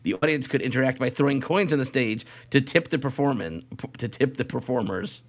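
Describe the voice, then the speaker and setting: A man's voice: mid-range voice, brisk. Rambling man in casual podcast banter. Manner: rambling, repeats phrases. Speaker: podcast co-host.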